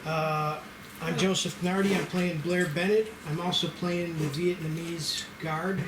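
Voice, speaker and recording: A man's voice: fairly high pitch, fairly even intonation, a little slow. A man leading a table read, informally organizing the group and explaining things. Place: indoor room with a group. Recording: noisy, echoey room.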